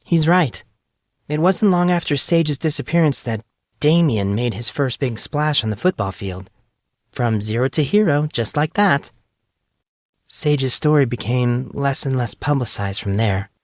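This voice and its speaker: A soft, earnest young woman tells a sad story in a raised, gentle voice, as a male narrator puts her on. The sound is dry and close, with no room around it. She speaks in a heartfelt, confiding way. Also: mid-range voice, animated, measured pace, no echo, voice pushed up, lightly acted. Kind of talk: audiobook character reading.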